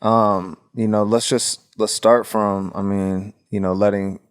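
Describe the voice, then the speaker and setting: A man's voice: fairly low pitch, flat delivery, unhurried, clean tone. A man hosting a casual interview podcast, asking questions in informal, hesitant phrasing. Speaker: podcast host.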